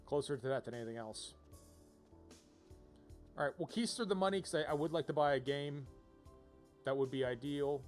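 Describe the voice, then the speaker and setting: A man with a fairly high voice chats through a game on a livestream. He rambles casually, thinking aloud, with music playing behind him. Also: normal speed, long pauses. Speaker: game streamer.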